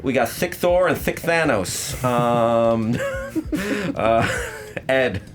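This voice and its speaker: A man hosting a casual debate game show in a halting, jokey manner. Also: medium pitch, lively intonation.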